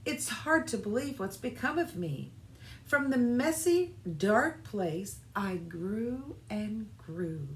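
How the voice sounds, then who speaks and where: A woman voicing a small, earnest little seed in a children's storybook, in a high, small voice. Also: lively intonation, takes it slowly. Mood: gentle wonder. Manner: rhyming, earnest storybook speech. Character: small scared, lonely seed friend, later cheerful. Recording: roomy echo, faint background noise.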